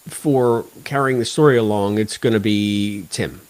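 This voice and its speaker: A man's voice: lowish voice, unhurried. A man hosting a podcast in a casual, talkative style, discussing and reviewing drama while freely giving his opinions. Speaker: podcast host.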